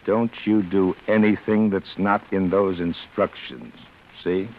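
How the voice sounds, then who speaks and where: A low-voiced, shady radio crook. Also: male voice, steady tone, unhurried. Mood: brisk, bossy. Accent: American. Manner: brusque, clipped gangster slang. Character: shady, bossy crook handing out orders. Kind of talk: 1940s-50s radio comedy-adventure acting. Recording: dull old radio recording, faint noise.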